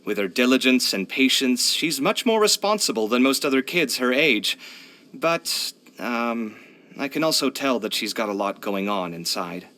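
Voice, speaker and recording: Bright, expressive young architect. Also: male voice, mid-range voice, moderate pace. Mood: thoughtful, admiring. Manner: chatty, candid, expressive, sighing. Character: young male architect, proud, earnest, expressive, hard-luck. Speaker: video game voice actor. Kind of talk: video game character voice lines.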